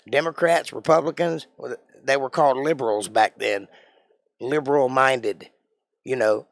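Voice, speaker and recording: A man's voice: medium pitch, creaky, fairly bright tone, no echo. Opinionated man ranting on stream. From Georgia, USA. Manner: emphatic, opinionated, rhetorical questions. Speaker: live stream host. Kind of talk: casual political rant to chat.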